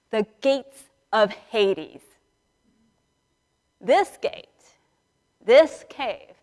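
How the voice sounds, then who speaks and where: A woman priest preaches in a fairly high voice, taking her time between phrases. She tells the story conversationally and acts out the dialogue. Her words ring through a reverberant church. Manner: animated storyteller acting out dialogue, with dramatic pauses. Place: large church sanctuary, indoors, standing and moving before the altar. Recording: reverberant church hall, picked up in a large space.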